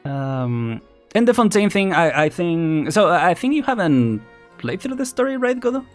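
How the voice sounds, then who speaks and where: A man's voice: sits high, big pitch swings, normal speed, soft background music. A man chatting casually as a livestream host, rambling and hesitant, often repeating words and restarting as he thinks aloud. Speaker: streamer, vtuber host.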